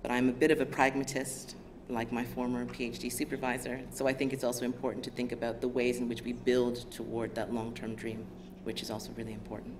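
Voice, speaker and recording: An American woman with a smooth, low voice, giving an academic lecture in a measured, thoughtful way. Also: level tone. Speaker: guest lecturer. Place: lecture theatre stage. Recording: lecture hall audio with background noise.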